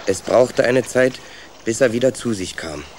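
A man's voice: medium pitch, expressive, normal speed, noisy background, close to an everyday voice. A young man playing an adventurous, stubborn young rat who tells his own story in a children's radio play. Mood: absorbed storytelling. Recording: clear ambient effects behind.

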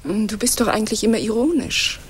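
A woman's voice: on the high side, lively intonation, quick pace, husky. A woman playing a clever, curious goddess in a 1940s radio play, speaking in an arch, teasing, confidential way. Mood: amused, curious.

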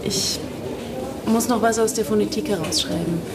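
Young actress as a playful student, noisy ambience. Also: female voice, mid-range voice, normal speed, lightly acted. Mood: friendly, playful. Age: young adult. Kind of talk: radio drama dialogue.

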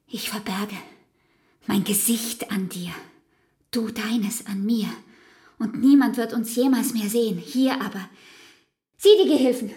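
Actress doing a brooding devoted woman, very slow and measured, slightly high voice, radio drama. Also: dark tone, lightly acted. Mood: morbid longing. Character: brooding, anguished woman, devoted but fatalistic. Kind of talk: literary radio drama acting.

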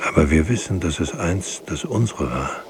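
An actor playing a grave, brooding narrator in a literary radio drama, reciting in a solemn, measured way. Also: male voice, sits low, expressive. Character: grave male narrator, poetic, brooding witness. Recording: faint background hiss.

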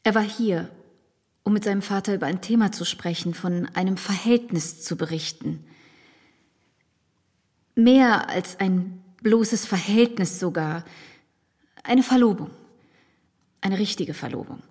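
An actress playing a distressed, affectionate married gentlewoman in a period radio drama, grave, hesitant and emotional. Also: mid-range voice, unhurried, long pauses, natural voice. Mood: hesitant, troubled.

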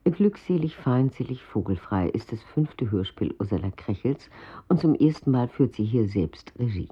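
A man with a soft, breathy voice reading a scripted radio introduction as an announcer. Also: medium pitch, lively intonation, moderate pace, highs cut off.

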